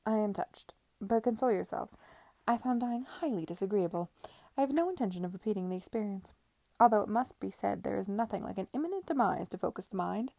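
Imperious old lady of rank, played by a woman narrator: formal, venomous, gravelly edge, period storybook. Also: medium pitch, a little fast, warm tone, dry recording. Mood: dry, haughty. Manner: haughty, cutting, formal. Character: imperious, venomous old aristocratic aunt with canny wit.

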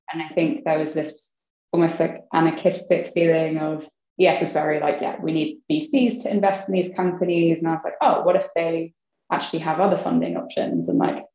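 A woman's voice: deep voice, mellow. Give me a young woman talking casually in an interview, slightly muffled and roomy. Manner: chatty, many fillers like "like" and "kind of". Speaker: guest, venture investor. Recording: roomy, slightly dull sound.

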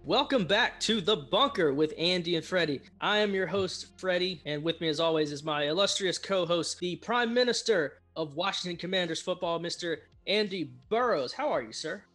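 A man's voice: sits high, expressive, moderate pace, few pauses, a bit bright, soft background music. A man hosting a podcast, upbeat and chatty, asking rambling questions. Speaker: podcast host. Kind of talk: casual podcast chat.

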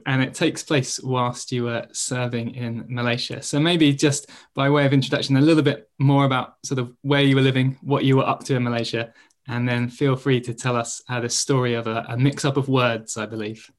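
A man hosting a podcast, reading a scripted introduction and then asking interview questions in a measured, polite way. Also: mid-range voice, fairly even intonation. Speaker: podcast host.

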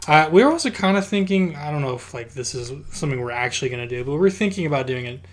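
A man's voice: a little high, expressive, quick pace. A man co-hosting a podcast, chatting in an easygoing way with many fillers. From Utah. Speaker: podcast co-host. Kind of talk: casual chat.

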